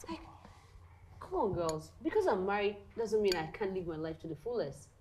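A woman's voice: mid-range voice, big pitch swings, moderate pace, mellow, slight background noise, lightly acted. A woman playing a bold young married Nigerian woman rallying her girlfriends in a screen drama. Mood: defiant.

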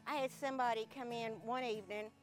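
A woman's voice: a little high, fairly even intonation, quick pace. A woman with a Southern accent, a librarian telling funny stories in a casual interview, laughing often. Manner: chatty, laughs often while telling anecdotes.

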